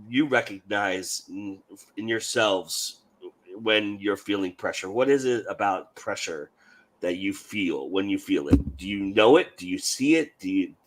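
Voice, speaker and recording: A man hosting an online panel show, his voice hoarse with a little growl, talking in a rambling, self-deprecating way. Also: lowish voice, poor audio. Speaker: host.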